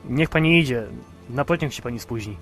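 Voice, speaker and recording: A man's voice: mid-range voice, brisk, fairly bright tone, soft background music, close to an everyday voice. A man playing a chatty, prying taxi driver with a hint of menace in an audio drama. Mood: matter-of-fact.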